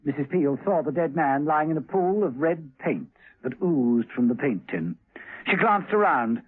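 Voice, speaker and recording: A man's voice: fairly high pitch, expressive, normal speed, breathy edge. A man narrating a 1970s radio drama in an urgent, theatrical storytelling style. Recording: narrow 1970s radio recording with music.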